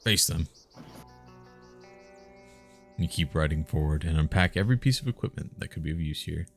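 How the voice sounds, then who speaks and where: Very deep, gravelly man streaming a game. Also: animated, fast talker, soft background music. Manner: musing, muttered short phrases, thinking aloud. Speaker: live streamer playing and reading a text game. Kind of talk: casual chat mixed with reading game text aloud.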